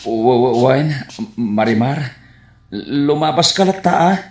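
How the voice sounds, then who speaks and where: An actor playing a bashful, stammering young bachelor in a radio comedy drama, with a Filipino accent. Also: male voice, mid-range voice, animated, takes it slowly, a little mellow. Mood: flustered, bashful. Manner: stammering, hesitant, flustered. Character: bashful, flustered, stammering young bachelor who fears women. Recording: echoey room sound.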